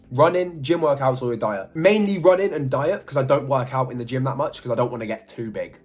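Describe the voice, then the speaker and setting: A man's voice: medium pitch, slightly rough. A man, chatting through a casual Q&A vlog with jokey, self-mocking asides. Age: 20s. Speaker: model and YouTuber.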